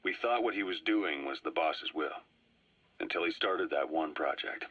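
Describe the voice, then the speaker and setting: An actor playing a sly, composed Soviet spy in a video game, speaking in a low husky voice with measured dramatic pauses on a cassette recording. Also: male voice, a bit bright, no echo, exaggerated voice. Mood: measured, reflective. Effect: cassette tape filter. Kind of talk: scripted dramatic game dialogue, recounting history. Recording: dull, boomy cassette tape playback.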